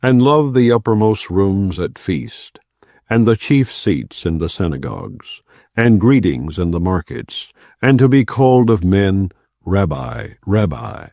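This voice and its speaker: A man giving a solemn, measured, non-dramatized reading of scripture from the Bible. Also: low voice, sing-song, gravelly, mellow, dry recording, studio quality. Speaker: Bible reader.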